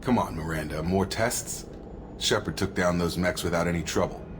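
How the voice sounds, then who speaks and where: An actor playing a principled, steady soldier in a sci-fi video game, with an earnest, straightforward manner. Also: male voice, deep voice, moderate pace, background noise, natural voice. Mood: reluctant. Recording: clear music behind the voice.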